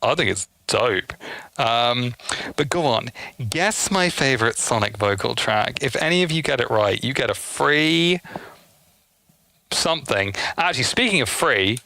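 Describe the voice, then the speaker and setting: A man talks casually to his livestream audience in a bright voice with frequent vocal fry. His delivery is effusive and peppered with swearing. The sound is dry, with little room around it. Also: medium pitch, animated, measured pace, no echo, poor audio. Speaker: streamer hosting a live game stream.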